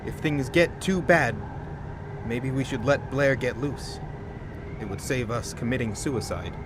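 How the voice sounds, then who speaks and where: A man's voice: medium pitch, unhurried, noisy background, natural voice. An actor playing a somber, fatalistic expedition man in an audio drama. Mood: resigned.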